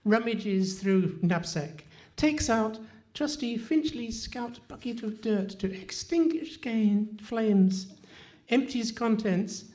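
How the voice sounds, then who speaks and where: A woman's voice: fairly high pitch, takes it slowly, warm tone. A woman playing a dim, timid, childlike male scout with a dopey, stammering delivery in a live stage radio comedy. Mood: frightened, dopey. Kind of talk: Goon Show style radio comedy acting. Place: live stage performance in a hall.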